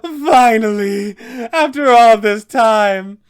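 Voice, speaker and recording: A man voicing a starving, desperate young vampire spawn from a fantasy game, pleading and menacing in drawn-out screamed outbursts. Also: sits high, clean tone, voice pushed up. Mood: frenzied relief. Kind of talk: theatrical fantasy game villain acting.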